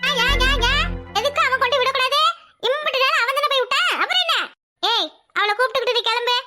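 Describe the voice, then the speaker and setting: Fiery mom in a family serial, super high bright voice, snaps at people. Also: female voice, fast talker, faint music behind. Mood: indignant.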